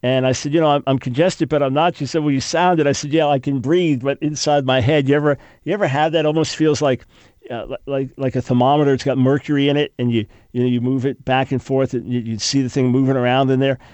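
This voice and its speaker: A man's voice: medium pitch, steady tone, quick pace, a little mellow, no echo, dull audio. An older man hosting call-in talk radio, sounding congested, arguing emphatically with frequent restarts. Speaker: radio talk show host.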